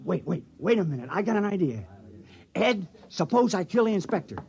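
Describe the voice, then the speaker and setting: Radio drama role: earnest small-town fellow, reluctant crook, high voice with big swings. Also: male voice, very animated, fairly quick, gravelly, darkish tone, highs cut off, exaggerated voice. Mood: eager. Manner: folksy, hesitant, earnest. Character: reluctant, good-natured village man appointed town criminal. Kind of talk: old-time radio drama acting.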